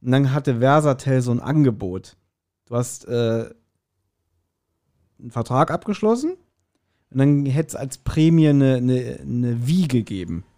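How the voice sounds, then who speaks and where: A man chatting casually as a podcast host, telling anecdotes in an animated way and acting out quotes. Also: medium pitch, unhurried, pauses often. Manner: animated retelling with acted-out quotes. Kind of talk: casual chat, anecdotal storytelling.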